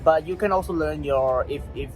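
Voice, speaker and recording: A man's voice: a little high, music in the background, poor audio. A young man from Malaysia, a native Mandarin speaker, talking casually as a guest and often restarting his sentences. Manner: halting, with many restarts and fillers. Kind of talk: casual conversation in English.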